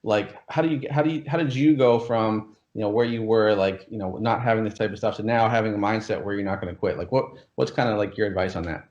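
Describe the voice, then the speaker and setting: A man's voice: lowish voice, fast, few pauses, darkish tone, roomy sound, dull audio. A man hosting an interview, talking enthusiastically and filling his speech with "like" and "you know". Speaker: course creator, interview host. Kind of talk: casual interview over a call.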